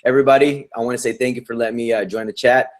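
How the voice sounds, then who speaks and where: A man's voice: medium pitch, steady tone, racing. A male nurse being interviewed, talking in an upbeat, slangy and encouraging way. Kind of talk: casual interview chat.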